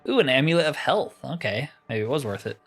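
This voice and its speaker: A man's voice: medium pitch, animated, fast, fairly bright tone, faint music behind. A man giving casual let's-play commentary, musing aloud with wry asides as he plays. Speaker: gamer narrating his playthrough.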